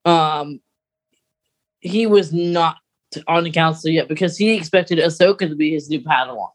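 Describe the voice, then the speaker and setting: Higher-voiced man musing casually. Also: moderate pace. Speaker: podcast co-host. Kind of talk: casual fan discussion of lore.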